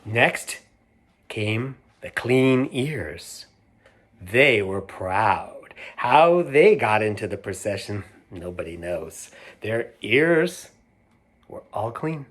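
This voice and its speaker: Slow, playful man reading a picture book. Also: lowish voice, expressive. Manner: unhurried, playful, talks directly to young listeners. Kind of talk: read-aloud storytime with asides about the pictures.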